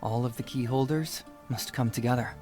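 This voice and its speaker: A woman's voice: extremely low pitch, normal speed, mellow, soft background music. An actress playing a friendly, chatty young woman puppeteer in a video game, with a teasing manner. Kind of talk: video game character dialogue.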